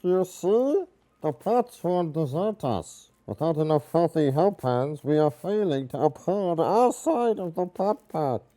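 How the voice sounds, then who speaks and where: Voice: mid-range voice, sing-song, measured pace, warm tone. An actor playing a small, quirky goblin-like village elder in a video game, earnest and speaking in the third person. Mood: worried. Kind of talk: video game character acting.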